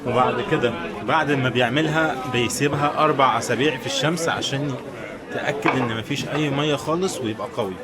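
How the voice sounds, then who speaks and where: A man's voice: mid-range voice, fast. A man from Egypt with an Egyptian accent, chatting casually to viewers as a travel vlogger. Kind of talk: casual vlog chat to viewers. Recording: busy crowd noise around the voice.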